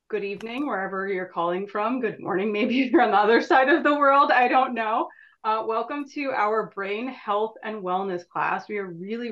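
A woman's voice: medium pitch, a bit bright. A woman hosting an online class, giving a chatty welcome and introducing the guest over a video call. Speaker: host and board president. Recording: slightly dull video call audio.

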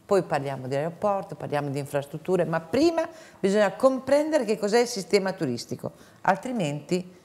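A woman's voice: medium pitch, very animated, a little fast, few pauses, darkish tone. A woman in her sixties making her case emphatically as a guest on a studio panel. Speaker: tourism board president, panel guest. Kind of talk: argumentative panel talk. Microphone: lapel mic.

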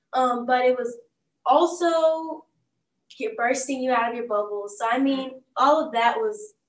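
A teenage girl, a young stage actor, chatting casually in a bookstore, relaxed and full of "like" and "um". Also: high voice. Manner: relaxed, lots of "like" and "um". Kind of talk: casual chat. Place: bookstore, seated on a stool. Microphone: far camera. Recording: roomy sound from a distant mic.

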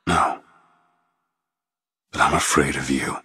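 An actor playing a gruff, world-weary American tough guy in a video game, with a gravelly voice. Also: rumbling low, fairly even intonation, takes it slowly, pushed and bright, heavily acted. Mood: wry, gruff. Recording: heavy rain and thunder noise.

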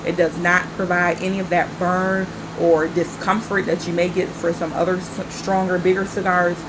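A woman's voice: on the low side, normal speed, background noise. A woman in her forties from the Carolinas with a stuffy nose and a slightly nasal voice, chatting casually to camera as a vlog host. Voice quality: a little nasal. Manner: relaxed, chatty, smoking while she talks. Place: outdoor patio at night.

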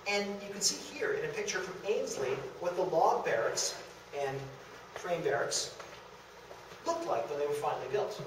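A man's voice: a little high, sing-song, normal speed. A middle-aged man giving an illustrated history lecture to a room, presenting as the speaker. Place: indoor meeting room of a historic house. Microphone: camera across the room, far from speaker. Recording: echoey room, distant, faint hiss.

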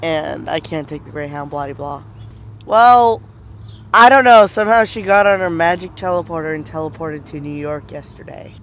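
A man's voice: fairly high pitch, normal speed, fairly bright tone. A young American man chatting casually and sarcastically, recounting arguments and mockingly quoting other people. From United States. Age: young adult. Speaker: vlogger. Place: outdoors by a fence near a drive-through. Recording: outdoor street noise behind.

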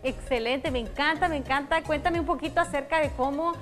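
A woman's voice: sits high, a little fast, faint music behind. A woman hosting a morning TV show, asking interview questions with upbeat enthusiasm. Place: TV studio.

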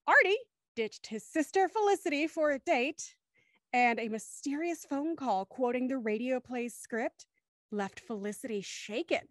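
Young woman host with a high-pitched voice. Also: fairly bright tone, dry recording, clean studio sound. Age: young adult. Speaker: show host. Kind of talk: upbeat livestream hosting, introducing guests. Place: small room at home, video call. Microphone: studio microphone on a stand, headphones.

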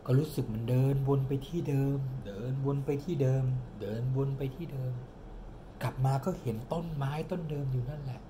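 A man's voice: mid-range voice, background noise. A man in his forties improvising a ghost story for his young daughter at home, dramatic and drawn out for suspense. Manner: animated, dramatic storyteller who slows down for suspense. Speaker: father telling a bedtime-style ghost story to his daughter. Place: home living room, indoors. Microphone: camera on the table in front, close.

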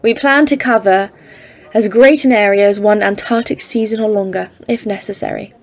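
An earnest expedition scientist, played by a woman, speaks with a creaky edge. Her delivery is measured and literary, like a formal account read out in an audio drama. Her pitch sits in the middle for a woman. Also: fairly even intonation, close to an everyday voice. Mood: grave, reflective. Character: Evelyn, earnest woman geologist leading polar expedition. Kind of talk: audio drama narration and dialogue.

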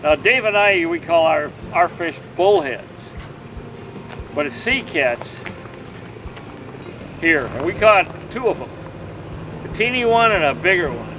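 A man's voice: a little high, big pitch swings, measured pace, pauses often, lo-fi sound, over music. An older man hosting a casual chat on a marina dock, chatty and teasing, handing out tips. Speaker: host filming the interview. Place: outdoor marina dock by the water.

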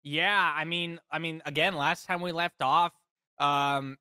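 A man's voice: a little high, fairly even intonation, a little slow, pushed and bright, clean tone, dry recording. A young man co-hosting a movie reaction, chatting casually and rambling with many fillers into a studio mic in a small room. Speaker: co-host of a movie reaction channel. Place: small home room, indoors. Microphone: studio mic on a stand, headphones.

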